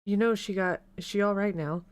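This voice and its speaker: A woman's voice: medium pitch, steady tone, a little fast, dry recording, natural voice. Jarl, a woman reading an anxious street boy. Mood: worried. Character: anxious young male street-gang orphan.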